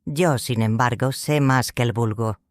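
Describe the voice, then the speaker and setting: A man's voice: medium pitch, measured pace, no echo, lightly acted. A man voicing a vain, self-assured court woman in an audiobook, gossiping in a coy, knowing way. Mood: conspiratorial, knowing.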